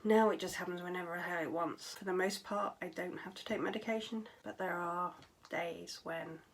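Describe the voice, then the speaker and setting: A young adult woman talking candidly to camera at home, tired and low on vocal power, her voice often dropping to a breathy near-whisper. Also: fairly even intonation, moderate pace. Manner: candid, matter-of-fact, with frequent fillers and trailing phrases. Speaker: vlogger. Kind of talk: casual talk to camera.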